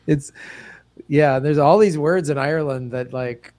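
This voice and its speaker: A man's voice: on the high side, a little mellow, dry recording. An American man, a comedian guest, chatting loosely in an interview, laughing easily and using many fillers. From United States. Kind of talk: casual interview chat.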